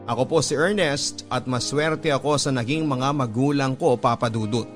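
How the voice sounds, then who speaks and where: An actor narrating in the first person as a sincere young pilot, with a Filipino accent, in scripted radio-drama style. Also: male voice, mid-range voice, normal speed, soft background music, natural voice. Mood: sincere, proud. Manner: earnest, sincere. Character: earnest young male pilot telling his life story.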